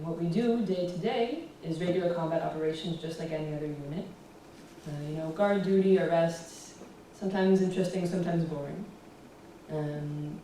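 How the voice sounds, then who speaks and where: American young woman in an echoey hall. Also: on the low side. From United States. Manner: relaxed, fills pauses with ums. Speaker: guest speaker. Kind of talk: public talk with slides. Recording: roomy echo, distant, faint hiss.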